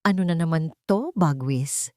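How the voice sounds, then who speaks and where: A woman's voice: sits low, moderate pace, dark tone, dry recording, studio quality, natural voice. A woman doing a scheming greedy wife. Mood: accusing. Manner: soothing one moment, accusing the next.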